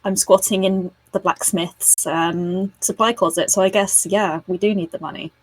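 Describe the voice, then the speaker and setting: A woman's voice: medium pitch, fairly even intonation, normal speed, slightly rough, no echo, rough recording. A woman chatting casually as a player on a tabletop roleplay podcast. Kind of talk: casual chat.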